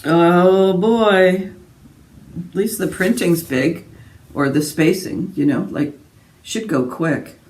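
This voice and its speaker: Mellow, rambling woman in echoey room. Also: on the low side, slow pace, pauses often. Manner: rambling, self-interrupting asides. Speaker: book vlogger. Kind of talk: casual book chat to camera. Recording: roomy echo, distant from mic.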